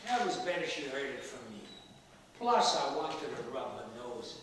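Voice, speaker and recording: A man's voice: medium pitch, very animated, normal speed, natural voice. A man acting a spry, cranky ninety-seven-year-old father in a comic stage play, blunt and wisecracking. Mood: wry, animated. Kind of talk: comic one-act stage play dialogue. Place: theatre stage, recorded from a distance. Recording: echoey hall, far mic, noisy.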